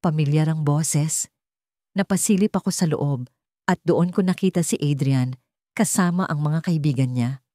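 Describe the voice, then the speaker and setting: Female storyteller, low register, scripted first-person narration, close dry sound. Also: normal speed, dark tone, dry recording.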